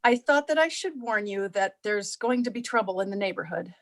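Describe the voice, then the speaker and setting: A woman's voice: mid-range voice, expressive, brisk, lightly acted. A woman playing a naive, anxious suburban housewife, hesitant and stammering, in a staged play reading over a video call.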